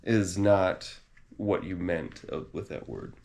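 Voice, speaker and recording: American guy, very low voice with a lot of fry, dry jokey couple chat. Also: fairly even intonation, a little slow, noisy background. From United States. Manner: dry, joking, explains carefully. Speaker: vlog co-host.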